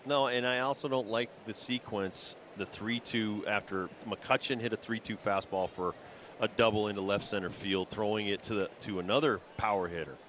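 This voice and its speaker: A man's voice: lowish voice, steady tone, moderate pace, fairly bright tone, a little hiss or hum. A man giving live baseball analysis as a color commentator, explaining in a conversational way.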